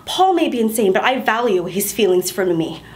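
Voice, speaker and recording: A woman's voice: medium pitch, very animated, moderate pace, close to an everyday voice. A woman performing a stage monologue as a young wife who pleads with her husband not to divorce her, laughing through near tears and rambling. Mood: frantic, laughing.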